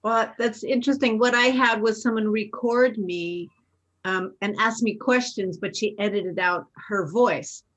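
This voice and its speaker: A woman's voice: medium pitch, fairly even intonation, a little slow, fairly bright tone, lo-fi sound. A woman tantra instructor with a big, room-trained voice, chatting and asking questions on a video call.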